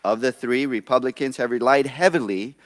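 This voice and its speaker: A man making a formal statement at a hearing, deliberate and emphatic. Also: mid-range voice. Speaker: congressman.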